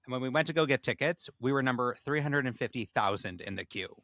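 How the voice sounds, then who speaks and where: A young man co-hosting a podcast in casual chat, with a playful, animated storytelling manner. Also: mid-range voice, fast talker, dry recording. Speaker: podcast co-host.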